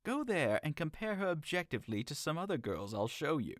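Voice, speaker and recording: A brisk, teasing young confidant played by a man in an audio drama. He sounds persuasive and animated, slightly high with very lively intonation, in a dry recording. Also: no echo. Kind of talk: audio drama acting of modernized Shakespeare.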